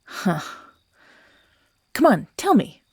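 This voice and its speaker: A woman's voice: mid-range voice, sing-song, slow pace, gravelly, breathy edge, dry recording, studio quality, heavily acted. A woman playing an obsessive, manipulative kidnapper in an audio roleplay, condescending and exasperated, with sighs and half-whispers. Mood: hurt, pleading. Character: obsessive, manipulative female kidnapper scolding her captive lover. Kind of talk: scripted yandere horror audio roleplay, intimate monologue.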